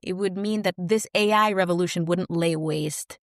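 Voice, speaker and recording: A woman's voice: fairly low pitch, moderate pace, dry recording, studio quality. A woman, speaking as a podcast guest in a reflective, searching way. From New York. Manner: reflective, searching for words with many likes and you knows. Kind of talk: casual podcast interview.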